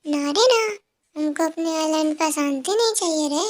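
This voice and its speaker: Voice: high voice, normal speed, natural voice. Someone putting on a brash, vain mermaid woman. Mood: sassy. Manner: brash, boastful, street slang. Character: vain sassy female mermaid.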